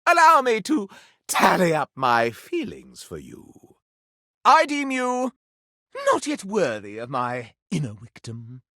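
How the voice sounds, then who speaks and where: Snooty melodramatic candelabra game character, super theatrical gossip, takes his time, crisp bright sound, really dry close recording. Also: high-pitched, wildly swooping pitch, slow pace, no echo, full character voice. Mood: flattered, flustered. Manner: pompous, florid, melodramatic declaiming. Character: flamboyant, haughty, scandal-loving living candelabra, theatrical gossip.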